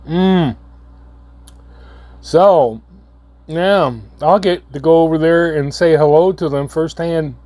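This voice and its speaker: A hoarse man, coming down with a cold, giving a halting, earnest update to his viewers. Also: fairly high pitch, warm tone, no echo. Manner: halting, repeats words, slow and earnest. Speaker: channel host. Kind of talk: casual vlog update.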